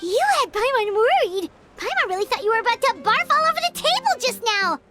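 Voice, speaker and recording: An actress voicing a tiny, curious, blunt fairy companion in an anime game, squeaky and childlike. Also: female voice, sing-song, a little fast, over loud music. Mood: worried. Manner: blunt, speaks of herself in third person.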